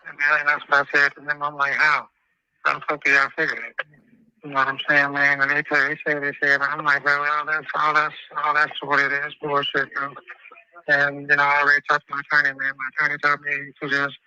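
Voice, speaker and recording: A man's voice: a little high, monotone, fairly quick, bright, forceful tone, clear, resonant tone, no echo. A man in an informal recorded phone call, talking defensively and repetitively with slang and swearing, over a muffled line. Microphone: telephone. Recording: muffled, dry telephone line.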